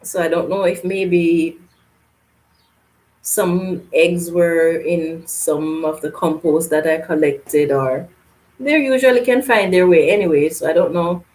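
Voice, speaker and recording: A woman from Canada hosts a casual live gardening stream. She reads viewer comments aloud and answers in a loose, chatty way with frequent laughter. Her voice sits a little low. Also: unhurried, darkish tone, rough recording. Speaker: gardening channel host.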